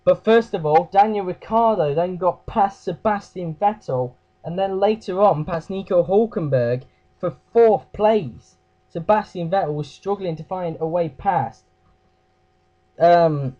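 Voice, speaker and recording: A man with a croaky voice giving a casual race recap, hesitant, with fillers and self-corrections. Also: sits high, warm tone, highs cut off. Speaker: race review host.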